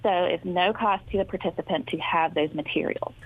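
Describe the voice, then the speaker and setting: A woman's voice: on the low side, fairly quick, no room sound. A woman explains things patiently and informatively, like a therapist answering interview questions. Her voice comes through a thin, phone-like line. The sound is dry and close. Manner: friendly, informative, steady explaining. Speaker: speech therapist guest. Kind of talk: explaining in a talk show interview.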